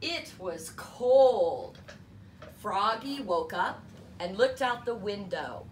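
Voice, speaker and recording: Slow, animated woman reading a storybook. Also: mid-range voice, a little hiss or hum. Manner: deliberate, playful emphasis on sound words. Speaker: storybook reader. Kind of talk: children's read-aloud narration.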